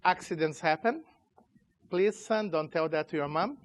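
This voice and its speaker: Man in his 40s, a bit high-pitched, informal conference presenter. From Chile, raised in Brazil. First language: Portuguese. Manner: informal, halting, loose grammar. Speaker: conference speaker, company CEO. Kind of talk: tech conference talk. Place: conference room.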